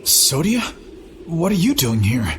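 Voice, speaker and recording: A man's voice: fairly high pitch, very animated, measured pace, a loud crowd, heavy background noise. A man playing a noble young knight captain in a video game, formal, solemn and earnest. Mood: surprised. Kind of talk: dramatic video game voice acting.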